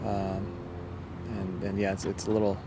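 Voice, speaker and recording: A middle-aged man, a soccer coach, giving a post-match interview in a halting, measured way with repeated words, outdoors on a field. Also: sits low, completely flat delivery. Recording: outdoor field recording with loud ambient noise.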